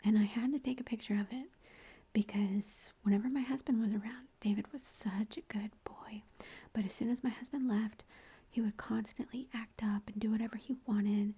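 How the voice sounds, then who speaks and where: A mother whispering softly as she shows family photos, gushing and chatty, close to the mic at home. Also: a little high, husky. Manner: soft unvoiced whisper throughout, gushing and chatty. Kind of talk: whispered ASMR photo show-and-tell.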